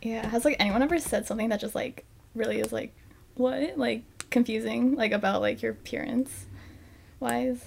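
A woman's voice: fairly high pitch, normal speed, gravelly, darkish tone, slight background noise. A woman co-hosting a podcast, telling stories in a giggly way with plenty of fillers. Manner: giggly, filler-heavy storytelling. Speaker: podcast co-host. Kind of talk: casual podcast chat.

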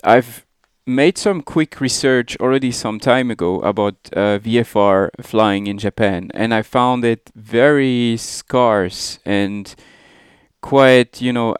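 A man's voice: medium pitch, smooth, no room sound, studio quality. A man hosting a casual interview, asking rambling questions that he often restarts. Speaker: podcast host, interviewer.

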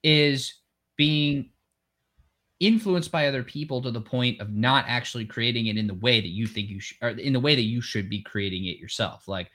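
Measured, reflective male podcast host. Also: mid-range voice, pushed and bright. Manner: measured, reflective, explains ideas step by step. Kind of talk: casual conversation.

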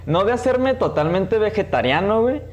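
A man's voice: sits high, very animated, moderate pace, dull audio. A man with a Mexican accent hosting a podcast in a casual, slangy, chatty way. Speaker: podcast host. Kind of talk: casual chat.

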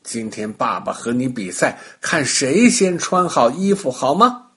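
A man plays an encouraging storybook dad who coaxes a small child as if starting a game. He speaks slowly, with big lively rises in pitch. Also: breathy edge, exaggerated voice. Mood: coaxing. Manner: coaxing, game-like challenges. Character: playful, encouraging young father.

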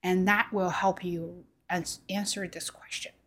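A woman's voice: fairly low pitch, little pitch movement, a little slow, fairly bright tone. A young woman whose first language is Chinese, talking solo on her podcast in a reflective, loose way with fillers and self-corrections. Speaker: podcast host. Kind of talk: casual solo talk.

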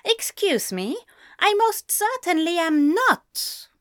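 Woman acting a young male student, high voice, prim, anxious, soft-hearted, careful formal phrasing, storybook dialogue. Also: sing-song, unhurried, full character voice. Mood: indignant, puzzled.

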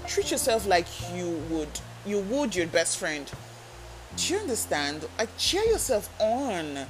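Woman podcaster giving pep-talk advice, music going clearly underneath, mid pitch. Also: very animated, a little slow, poor audio. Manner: earnest, coaching, asks listeners direct questions. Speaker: podcast host. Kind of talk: self-help podcast talk. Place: talking alone into a microphone.

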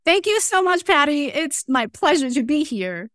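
A woman speaks as a podcast guest, her voice sitting a little high. She tells her story earnestly, stopping and restarting phrases. The recording is dry and close, with no room sound. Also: big pitch swings, a bit bright, no room sound. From Taiwan. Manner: earnest, halting, with frequent restarts and small grammar slips. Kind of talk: personal storytelling in conversation.

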